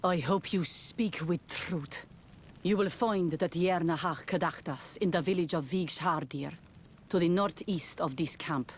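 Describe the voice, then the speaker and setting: A woman's voice: on the low side, a little slow, breathy edge. A woman playing a stern, commanding clan leader in a fantasy video game. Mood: grave, warning.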